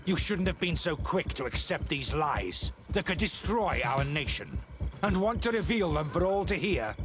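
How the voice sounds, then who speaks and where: An actor playing a scornful, fanatical priest and unrepentant murderer in a video game, haughty and self-justifying. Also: male voice, fairly high pitch, very animated, normal speed, over music. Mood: scornful, angry. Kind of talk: dramatic video game voice acting.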